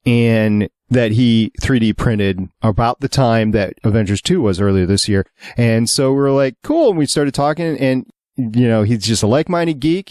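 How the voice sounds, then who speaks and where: A man's voice: medium pitch, darkish tone, no room sound, clean studio sound. A man hosting a casual fan podcast in a chatty, enthusiastic way. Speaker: podcast host.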